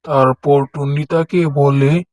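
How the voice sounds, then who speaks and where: Slow, higher-voiced male children's storyteller. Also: little pitch movement, darkish tone, no echo. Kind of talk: scripted children's story narration.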